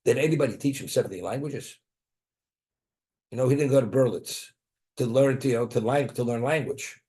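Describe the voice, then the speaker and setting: A man gives a Torah class at a mid-range pitch. He keeps asking rhetorical questions and answering them himself, dropping Hebrew and Aramaic phrases into his English. He thinks aloud, repeating words and restarting as he goes. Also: a little fast, mellow. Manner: mixes Hebrew and Aramaic terms into English explanation. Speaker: Torah teacher.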